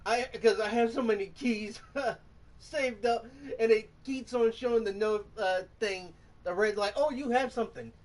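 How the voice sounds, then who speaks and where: A man's voice: high voice, unhurried, a little hiss or hum. A man giving casual gameplay commentary in a playful, rambling way. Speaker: gaming streamer. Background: loud game music.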